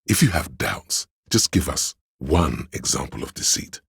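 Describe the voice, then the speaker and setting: A male actor voicing a servile, courtly and suspicious adviser in a video game, speaking in a grandiose, measured, theatrical way with a gravelly, husky voice. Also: rumbling low, lively intonation, fairly bright tone, no room sound, studio quality, full character voice. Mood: grave, insistent. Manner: grandiose, measured, addressing listener as sire. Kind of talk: theatrical game character voice lines.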